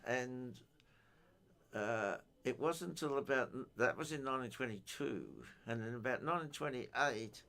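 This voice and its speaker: Older man, informal technical talk, halting delivery, methodical, explanatory. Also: medium pitch, fairly bright tone. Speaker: amateur radio enthusiast giving a technical talk.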